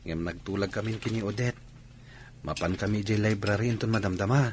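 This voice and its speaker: A man's voice: on the low side, steady tone, a little fast, a little hiss or hum, close to an everyday voice. A male actor with an Ilocano accent playing a persistent young student suitor in a radio drama. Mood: apologetic, insistent.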